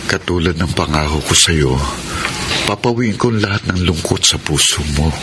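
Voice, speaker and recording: Devoted leading man, very deep voice, reassuring and devout, radio romance over loud music. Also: animated, normal speed. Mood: loving, reassuring. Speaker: radio drama actor. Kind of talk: Filipino romantic radio drama acting.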